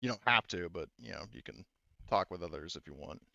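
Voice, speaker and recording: A man's voice: low voice, animated, fairly quick, vocal fry, sharp tone, dry recording, lo-fi sound. A man running a tabletop roleplaying game as game master, talking casually and often restarting his phrases.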